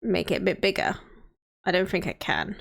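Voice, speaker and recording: A woman's voice: on the low side, very animated, moderate pace, gravelly. A woman in her thirties, a streamer chatting casually and thinking aloud while greeting her viewers by name. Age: 30s. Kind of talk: casual live-stream chat while playing a city-building game.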